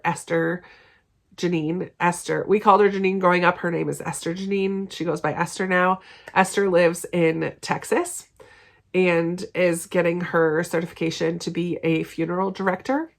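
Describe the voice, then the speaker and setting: Congested, chatty woman booktuber. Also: on the low side. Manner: chatty and upbeat, laughs between sentences. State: congested from a cold or allergies. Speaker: BookTube channel host. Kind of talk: casual chatty Q&A vlog to viewers.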